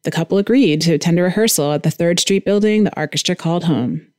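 A woman with a fairly low voice reads scripted podcast narration as the host. The recording is dry and close, with very little room sound. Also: fast talker, a little mellow, no room sound.

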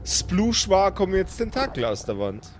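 A man narrating as game master in an improvised tabletop story, slow and playful with suspense. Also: high-pitched, very animated, a little hiss or hum.

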